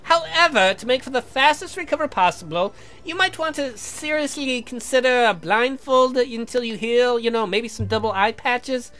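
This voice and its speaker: Man putting on a high-pitched, pedantic young scientist. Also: a bit bright. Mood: earnest advice. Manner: formal, halting, explanatory. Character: polite, pedantic young male scientist servant. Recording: music behind the voice.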